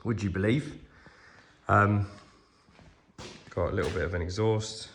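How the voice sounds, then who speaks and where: A man with a cold, croaky and hoarse, chatting casually with lots of ums while filming a walkaround of his truck restoration in a workshop. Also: on the low side, a little slow. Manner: relaxed, many ums, trailing off.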